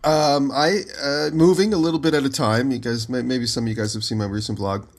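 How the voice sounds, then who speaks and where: A man co-hosting a podcast, just out of bed with his voice lower than usual, telling long rambling stories from home over a remote call. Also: fairly even intonation, fairly quick. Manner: rambling storyteller, giggly. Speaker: podcast co-host. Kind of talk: casual chat.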